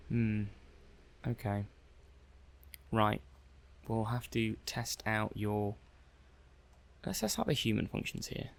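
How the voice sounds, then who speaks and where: Man acting as robot test technician, ASMR roleplay, lowish pitch, vocal fry, hesitant, curious, halting delivery. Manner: hesitant, halting, musing aloud. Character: hesitant, curious male robot technician running software tests. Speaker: audio roleplay performer. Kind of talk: soft-paced ASMR roleplay monologue.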